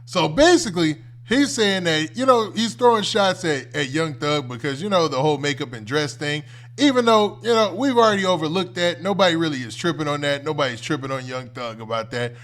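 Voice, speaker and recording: Higher-pitched American man giving casual commentary. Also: brisk, fairly bright tone. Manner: conversational, colloquial, often ends phrases with "man". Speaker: host of a commentary livestream. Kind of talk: casual off-the-cuff reaction commentary.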